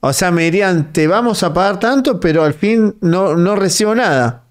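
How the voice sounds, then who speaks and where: Lively Rioplatense man interviewing bluntly. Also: high voice, moderate pace. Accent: Rioplatense Spanish. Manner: blunt, presses for plain answers. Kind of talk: conversational interview.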